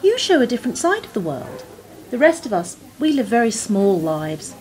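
An actress as an eager, animated female fan. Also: on the high side, moderate pace, low-quality recording. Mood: earnest. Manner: earnest, questioning, admiring. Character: eager, inquisitive female fan with a dull job. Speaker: actor in a radio play. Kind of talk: radio drama dialogue.

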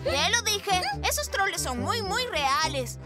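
An actor voicing an excitable, boastful young bear inventor in a children's cartoon, with a theatrical, enthusiastic delivery. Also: very high-pitched, measured pace, full character voice. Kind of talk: animated children's cartoon dub. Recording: music behind the voice.

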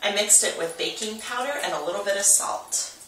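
A woman's voice: medium pitch, brisk, background noise. A middle-aged mother helping her daughter bake, coaching her step by step in a casual way. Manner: coaching, gently directing. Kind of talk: casual kitchen chat. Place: home kitchen. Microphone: camera across the kitchen. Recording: echoey kitchen, distant from camera.

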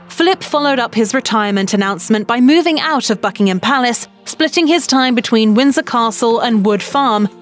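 A woman reading a scripted documentary narration in a brisk, even storytelling manner. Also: on the high side. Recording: voice-over with soft background music.

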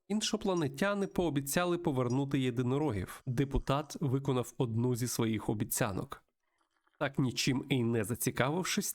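A man reading a visual novel aloud as a let's play host, in an expressive storytelling manner. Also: mid-range voice. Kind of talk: narrated visual novel playthrough reading with asides.

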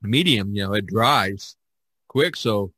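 A man's voice: on the low side, expressive, slow, pushed and bright, no room sound. A man hosting a podcast in a casual, rambling and jokey way. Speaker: podcast host. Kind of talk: casual chat about painting.

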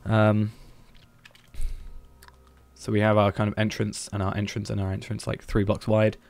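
A man's voice: lowish voice, completely flat delivery, normal speed, gravelly, no echo. A man streaming a building game, thinking aloud in a hesitant, musing way as he plans. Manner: musing, hesitant, planning out loud.

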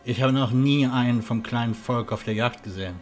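Man as castle huntsman, friendly, helpful, a little hesitant, fantasy audio drama, mid-pitched, music behind. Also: brisk, natural voice. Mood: friendly, curious.

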